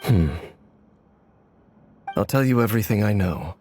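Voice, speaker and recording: A man playing a secretive, ancient masked wanderer in a video game, measured, solemn and guarded, with a gravelly voice. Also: fairly low pitch, animated, a little mellow.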